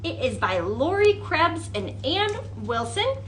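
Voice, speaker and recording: A woman's voice: high voice, big pitch swings, measured pace, a little hiss or hum. A woman leading a children's storytime, reading a picture book expressively and putting questions to young listeners.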